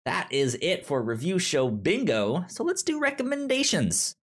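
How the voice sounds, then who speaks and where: A man with a frequent stutter, chatting casually and haltingly as co-host of a movie review podcast. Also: fairly high pitch, sing-song. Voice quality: frequent stutter, repeating sounds and syllables.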